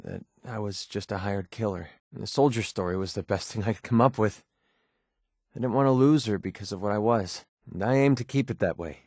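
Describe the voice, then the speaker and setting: An actor playing a guarded, wry and short-tempered young mercenary with a tender side in a video game, speaking informally. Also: male voice, on the low side, darkish tone, no room sound, clean studio sound, close to an everyday voice. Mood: hesitant, sorrowful, tender. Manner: informal, halting when emotional. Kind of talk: scripted video game character dialogue.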